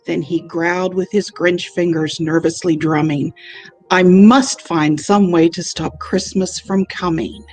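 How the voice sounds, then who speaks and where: A woman's voice: on the low side, fairly even intonation, unhurried, darkish tone. A woman narrating a rhyming children's storybook. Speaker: library staff member reading aloud. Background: background music.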